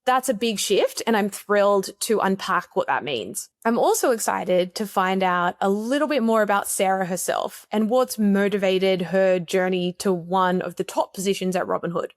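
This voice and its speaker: An upbeat woman introduces and interviews a guest on a podcast. Her voice sits in a middle pitch, and the sound is dry and close with no room around it. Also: moderate pace, hardly any pauses, no room sound. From Australia. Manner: upbeat, enthusiastic. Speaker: podcast host.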